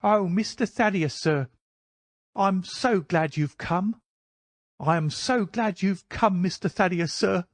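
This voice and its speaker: Man voicing a high-pitched, fretful old housekeeper woman. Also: takes it slowly, no echo. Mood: relieved rejoicing. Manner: emotional, pleading, gushing. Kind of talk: audiobook character voice.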